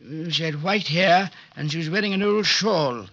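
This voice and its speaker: A prim, flustered old-time butler. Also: male voice, on the high side, normal speed, fairly bright tone. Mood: fussy. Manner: fussy, polite, flustered. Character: timid, prim middle-aged male butler. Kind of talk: 1940s radio acting. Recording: dull lo-fi old radio recording.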